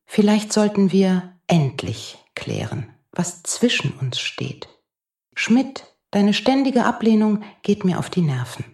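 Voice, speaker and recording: A woman as a creaky, mocking space traveler. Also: mid-range voice, lively intonation, takes it slowly, darkish tone. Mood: needling. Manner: mocking, breaks into whispery tones. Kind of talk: scripted audio drama acting. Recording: echoey room.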